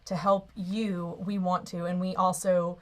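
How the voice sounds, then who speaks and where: A woman's voice: lowish voice, flat delivery, measured pace. A woman co-hosting a live call-in marriage advice show, speaking to a caller in a sympathetic, reassuring way. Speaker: co-host and marriage coach.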